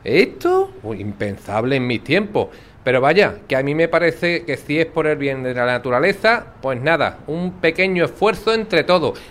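Sweet chatty old sevillian granny in an audio drama, folksy and curious. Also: male voice, fairly high pitch, very animated, moderate pace, a bit bright. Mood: amazed, friendly. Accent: Sevillian Andalusian. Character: chatty, kindly, curious elderly Sevillian grandmother. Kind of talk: naturalistic audio drama dialogue.

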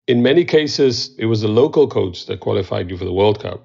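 Man, gravelly, fairly low voice, explanatory expert, conversational, muffled lo-fi audio. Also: expressive, a little fast, dark tone. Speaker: expert commentator. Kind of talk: conversational interview analysis. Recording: dull, lo-fi interview audio.

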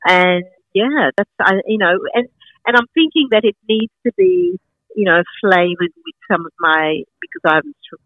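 A woman's voice: medium pitch, very animated, moderate pace, no room sound. Enthusiastic middle-aged woman, Sri Lankan accent, rambling guest; dull dry lo-fi audio. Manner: enthusiastic, rambling with many fillers. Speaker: guest, market founder and farmer. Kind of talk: casual interview chat.

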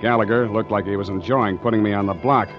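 An actor playing a tough, wry ship's master who narrates his adventure in deadpan 1940s radio noir style. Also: lowish voice, fast, close to an everyday voice. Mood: wry narration. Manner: deadpan, wisecracking. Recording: muffled 1940s radio recording with music.

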